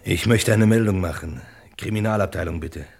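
An actor playing an agitated, indecisive ordinary man in a vintage German radio crime play, speaking haltingly. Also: low voice, gravelly. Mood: hesitant. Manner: hesitant, anguished, halting.

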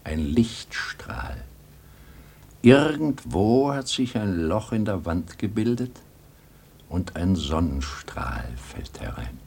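A man's voice: sits low, big pitch swings, slow pace, creaky, darkish tone, breathy edge, full character voice. A man playing a troubled old grandfather in a German radio play, hesitant and wistful, longing for a world he remembers. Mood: urgent.

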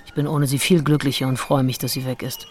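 An actress playing a candid, passionate, willful Englishwoman confiding her diary in an intimate radio play, with deliberate phrasing. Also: very deep voice, level tone, a little mellow. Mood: frank, melancholic. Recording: studio radio play with music behind.

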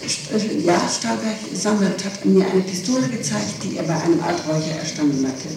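A woman's voice: mid-range voice, vocal fry. Middle-aged woman on echoing, noisy old tape. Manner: halting, evasive, searching for words. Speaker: witness being questioned. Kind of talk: police interrogation answers. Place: reverberant room, far from microphone. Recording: noisy, echoing 1960s interrogation tape, distant.

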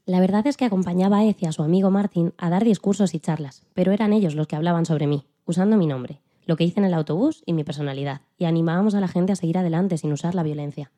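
Woman playing a fast-talking, very mellow dignified activist. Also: on the low side, close to an everyday voice. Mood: earnest, resolute. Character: Rosa Parks, elderly woman activist recalling the bus boycott, dignified. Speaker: podcast guest in a dramatized interview. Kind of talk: scripted first-person storytelling in interview form.

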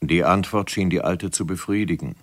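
Casting: male narrator, extremely low voice, measured literary storyteller for vintage radio drama. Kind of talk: scripted narration of a 1970s radio play.

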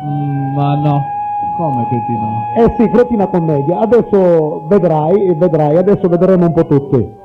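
Male satirical radio host, animated zany improvised banter, narrow lo-fi archive broadcast over music. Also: fairly high pitch, measured pace.

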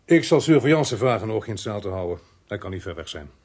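An actor playing a weary, patient police inspector in a radio play, polite and slightly hesitant. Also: male voice, lowish voice, expressive, quick pace, a little mellow, breathy edge. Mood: matter-of-fact.

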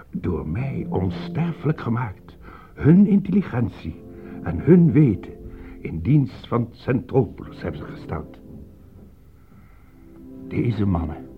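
An actor playing a grandiose, patronizing mastermind of a futuristic city in a radio drama, lecturing pompously. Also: male voice, on the low side, gravelly, exaggerated voice. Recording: muffled phone-like sound, music underneath.

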